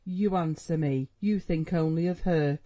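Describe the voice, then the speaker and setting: A man's voice: a little high, unhurried, dark tone, voice pushed up, close to an everyday voice. A man reading a novel aloud, voicing a proud, anxious middle-aged mother who scolds her son and pleads with him. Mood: pleading. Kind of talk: audiobook dialogue reading.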